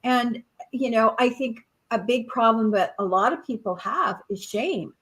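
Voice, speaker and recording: Brief: higher-pitched woman co-host, thoughtful and halting, restarts her sentences, fills pauses. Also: a bit bright. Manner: reflective, halting with restarts and fillers. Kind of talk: casual live-stream chat.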